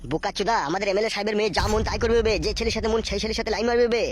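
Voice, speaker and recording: Brief: rough, threatening village tough as a woman puts him on for a cartoon, rapid-fire delivery. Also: medium pitch, steady tone, pitched below the performer's own voice, lightly acted. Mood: mocking. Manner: crude, bullying, jeering. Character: rough male village thug, crude and threatening.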